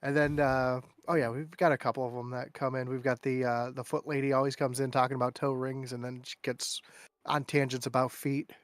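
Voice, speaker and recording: A man's voice: medium pitch, level tone, brisk, no room sound. A man chatting as a guest on a casual podcast, telling stories and mimicking people in raised voices.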